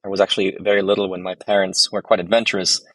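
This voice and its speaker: A man in his thirties from Germany, raised abroad, giving measured, articulate answers as a podcast guest. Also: low-pitched, level tone, fairly bright tone, dry recording, rough recording. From Germany, raised abroad in several countries. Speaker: podcast guest, startup founder. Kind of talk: conversational interview answers.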